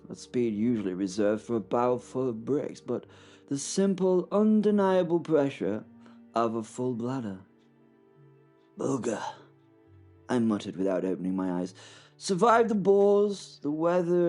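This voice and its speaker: A man's voice: mid-range voice, dark tone, close to an everyday voice. Man doing a flustered flying chap. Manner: flustered, self-mocking, stammering. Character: plucky British WWI pilot with a moustache. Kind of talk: comic first-person audio drama narration.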